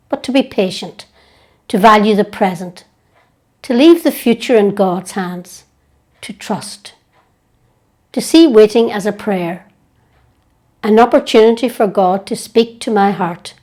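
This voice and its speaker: A woman leading a guided prayer meditation in a gentle, hushed, unhurried way with long pauses. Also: mid-range voice.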